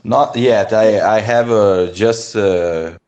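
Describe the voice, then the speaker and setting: A young Brazilian man, a native Portuguese speaker, talking haltingly with many restarts in an online English lesson over a video call. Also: on the low side, darkish tone, lo-fi sound. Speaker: English learner. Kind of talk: online language lesson conversation.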